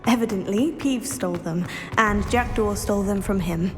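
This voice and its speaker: A woman's voice: mid-range voice, normal speed, music in the background, natural voice. A woman playing an earnest, articulate teenage student of magic in a video game. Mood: confident. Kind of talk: scripted game cutscene acting.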